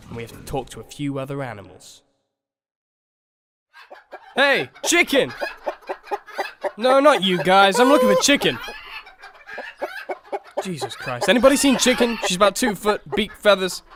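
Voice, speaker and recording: A man acts out a mopey horse in an audio story. The voice sits a little high and rambles with anxious, dry sarcasm. Faint noise lies behind it. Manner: sighing, rambling self-talk, sarcastic. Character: mopey young male horse, dreaming musician, anxious. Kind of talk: cartoon-like audio story acting.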